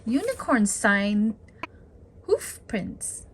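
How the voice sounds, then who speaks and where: Woman reading slowly in a lively sing-song. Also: on the high side, noisy background. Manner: sing-song, addresses children directly. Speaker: storytime read-aloud host. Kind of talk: picture book read-aloud for children.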